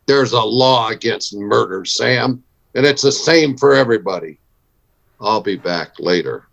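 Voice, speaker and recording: A man's voice: fairly low pitch, animated, a little slow, creaky, fairly bright tone, full character voice. A man playing a weary, steadfast frontier marshal in a recreated vintage radio western, speaking tersely and firmly. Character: weary, steadfast male U.S. marshal in an old West town.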